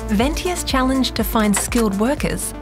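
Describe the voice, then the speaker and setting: A woman's voice: on the high side, expressive, a little slow. Woman presenter over music. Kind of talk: scripted reporting.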